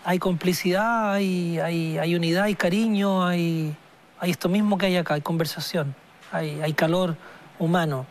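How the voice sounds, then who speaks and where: A man in his forties, a singer-songwriter guest, speaking slowly and thoughtfully with many pauses and restarts. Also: sits high, steady tone, a little hiss or hum. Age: 40s. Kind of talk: reflective interview talk.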